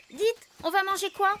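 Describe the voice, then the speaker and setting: A woman's voice: very high voice, fairly bright tone, slight background noise, full character voice. A woman voicing a plucky young female animal in a cartoon, bold, eager and forthright. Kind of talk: cartoon dub acting. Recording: music behind the voice.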